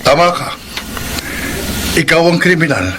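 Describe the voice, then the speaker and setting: A man's voice: on the high side, animated, a little slow. A man playing an accusing married man torn from his family in a melodramatic radio drama, reproachful in manner. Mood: blaming. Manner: reproachful, blaming. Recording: clear background noise.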